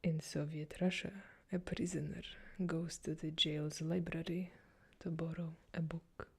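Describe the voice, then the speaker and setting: Slow gravelly whispering woman. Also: low-pitched, flat delivery. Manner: soft whisper throughout. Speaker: joke reader. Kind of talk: whispered ASMR joke reading.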